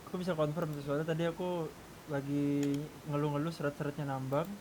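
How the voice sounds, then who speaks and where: Male gamer, Indonesian accent, rapid informal delivery, rumbly noisy voice chat. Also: level tone, a little mellow. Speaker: gaming group member in an online roleplay session. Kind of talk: casual colloquial gaming chat.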